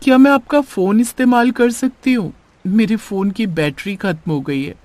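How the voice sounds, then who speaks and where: A man's voice: high voice, normal speed, a little mellow, no echo, pitched above the performer's own voice, lightly acted. A man voicing a polite young woman, stranded and mysterious, in a horror cartoon story. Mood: calm, secretive. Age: young adult.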